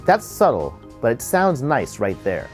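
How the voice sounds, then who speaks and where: A man presenting an audio tutorial in an instructional, measured, step-by-step way. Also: mid-range voice, wildly swooping pitch, breathy edge, soft background music. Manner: explanatory, measured step-by-step delivery. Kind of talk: instructional narration.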